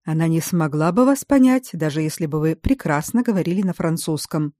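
A woman voicing a calm, learned male baron in an audiobook, speaking in a measured, explanatory way. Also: deep voice, no echo, studio quality. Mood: explaining.

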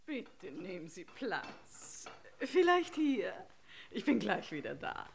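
A woman's voice: medium pitch, sing-song, slow, creaky, background noise, full character voice. An actress playing a gushing, coaxing aristocratic lady hostess with grand politeness in an old radio crime comedy. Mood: gushing, solicitous. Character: gushing, over-solicitous aristocratic lady hostess, slyly insistent.

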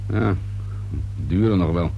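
An actor playing a wry, methodical police inspector in a radio drama, politely probing in a musing, unhurried way. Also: male voice, low voice, creaky, lo-fi sound, exaggerated voice. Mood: affable, shrewd. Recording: hum and steady noise behind voice.

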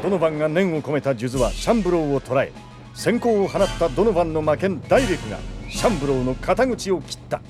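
Guy as audio drama narrator, fast urgent formal delivery, music behind him. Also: on the high side, animated, lightly acted. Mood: intense narration. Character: brisk, intense male storyteller of battle scenes.

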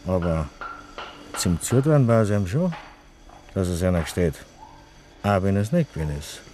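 A man's voice: low-pitched, lively intonation, moderate pace, mellow, slight background noise. An actor playing a grumbling, insinuating village tradesman in a Bavarian dialect radio play. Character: grumbling, suspicious village tradesman spreading rumours.

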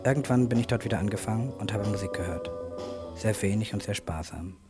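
A man's voice: lowish voice, a little fast. A man reminiscing in short, reflective phrases in a spoken-word radio monologue. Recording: loud music over the voice. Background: loud music.

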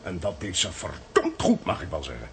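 Radio drama authoritative Dutch male agent, emphatic explainer, creaky voice, very animated intonation. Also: low voice, normal speed, a little hiss or hum, heavily acted. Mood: grave. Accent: Netherlands Dutch. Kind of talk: Dutch radio play acting.

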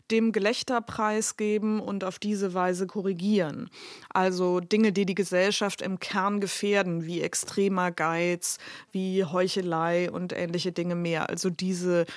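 A woman's voice: medium pitch, dry recording, studio quality. A woman giving explanatory expert commentary in an interview.

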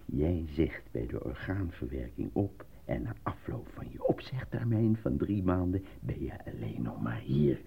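An embittered film actor and husband in a radio play. His low, rough-edged voice swings widely as he complains and justifies himself theatrically. The old recording is slightly muffled. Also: sing-song, a little slow, hardly any pauses, exaggerated voice. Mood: coaxing, smug. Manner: theatrical, exasperated. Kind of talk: Dutch radio drama acting.

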